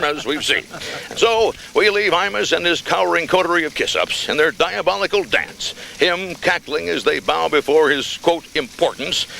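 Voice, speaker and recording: Radio parody: pompous veteran anchor, scornful and mock-solemn, raised pitch for a man, lively swoops. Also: vocal fry, slight background noise, full character voice, rough recording. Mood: mock-apologetic, scathing. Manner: grandiose, deliberate, mock-solemn delivery with asides. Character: pompous veteran TV newsman parody, scathing elder commentator. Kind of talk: scripted mock editorial commentary.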